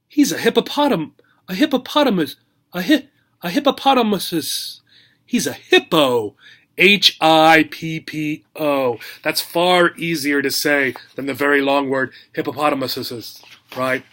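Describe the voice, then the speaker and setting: Slow-talking man teaching young children. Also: on the high side. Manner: animated, simple short phrases, prompting young listeners. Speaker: teacher reading a picture book to young learners. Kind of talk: children's read-aloud lesson, asking questions.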